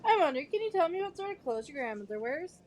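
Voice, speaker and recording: A woman playing a calm, encouraging male teacher of magic in an amateur audio drama, gently giving instructions. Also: high-pitched, fast. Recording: noisy home microphone recording.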